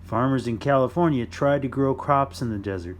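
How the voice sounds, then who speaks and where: A man reading a children's nonfiction book aloud in simple, deliberate phrases for young listeners. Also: mid-range voice, a little hiss or hum. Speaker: children's storytime reader.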